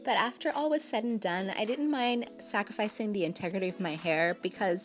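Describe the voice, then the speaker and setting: A woman giving casual voice-over narration for a hair tutorial, sounding slightly raspy and tired from a cold, explaining in a relaxed, chatty way. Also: medium pitch, a little fast. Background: background music.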